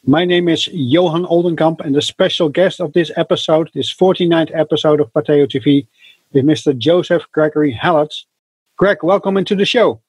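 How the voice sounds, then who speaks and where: Male host, slightly higher voice, husky, dry, halting questions. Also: a little mellow, no room sound. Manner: halting, searching for words. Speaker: talk show host. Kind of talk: interview hosting.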